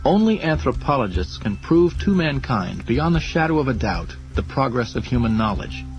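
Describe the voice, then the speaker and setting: A man's voice: medium pitch, very animated, normal speed, natural voice. A man voicing a smug, scornful younger professor who lectures pompously and emphatically. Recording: dull lo-fi audio under music.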